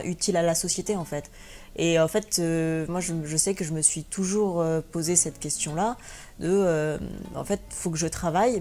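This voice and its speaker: A woman's voice: on the low side, warm tone. A young woman from France answering interview questions as a guest, hesitant, with many fillers and restarts. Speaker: guest blogger and teacher.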